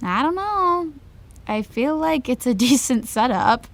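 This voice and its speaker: An actress playing a groggy, placating young best friend in an audio drama, casual and hesitant. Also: female voice, high voice, very animated, a little slow, full character voice. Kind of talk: naturalistic audio drama acting.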